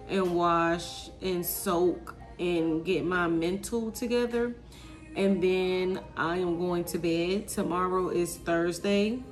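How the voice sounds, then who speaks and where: A woman's voice: medium pitch, darkish tone. A young woman chatting casually to viewers about her kids while filming herself on her phone in a home kitchen. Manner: chatty, confiding, talks to viewers as y'all. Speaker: vlogger, mother of five. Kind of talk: casual vlog chat. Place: home kitchen and pantry, indoors. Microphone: phone camera, handheld or propped up.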